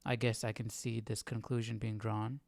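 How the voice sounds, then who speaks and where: A man's voice: lowish voice, level tone, moderate pace, creaky, a little mellow, dry recording. A man giving casual, musing commentary to viewers as host of a livestreamed study session.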